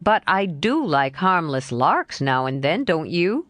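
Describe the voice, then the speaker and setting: A woman voicing a cheerful, teasing young man in a slightly lowered, coaxing voice. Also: very animated, measured pace, no echo. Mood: defensive. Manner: lightly lowered, coaxing, playful.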